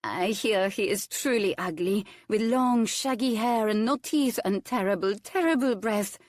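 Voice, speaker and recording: A woman's voice: medium pitch, unhurried, darkish tone, no room sound, clean studio sound, voice pushed up, natural voice. A woman voicing a giddy, gossiping lady dinner guest with a breathless manner.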